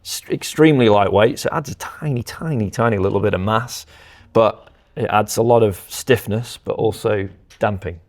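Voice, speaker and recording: Male product specialist, low register, explains engineering step by step as he demonstrates. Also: moderate pace, a little mellow. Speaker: company representative presenting audio products.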